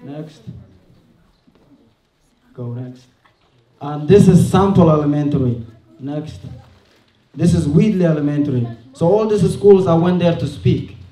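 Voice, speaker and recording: A man's voice: on the high side, unhurried, pauses often, warm tone. Man talking through slides in an echoey hall. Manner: chatty, laughs often, says "next" to advance slides. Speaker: event speaker presenting slides. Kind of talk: casual public talk at a showcase. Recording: echoey room from far off.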